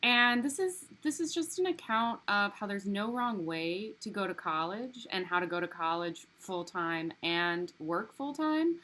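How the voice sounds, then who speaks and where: A woman's voice: medium pitch, pushed and bright. A woman hosting a casual interview with a friend, upbeat and loose, filling her speech with "like" and "um". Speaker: interviewer and channel host. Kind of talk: casual interview intro and questions.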